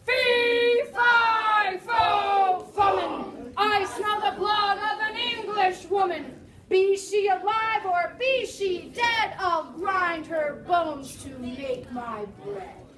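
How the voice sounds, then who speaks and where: A greedy male giant bellows out slow, booming threats, acted by a woman. The voice sits high for him. The sound is roomy and caught from a distance. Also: crowd noise, heavily acted. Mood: menacing. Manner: slow, loud, chanting. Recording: echoey room, mic far off.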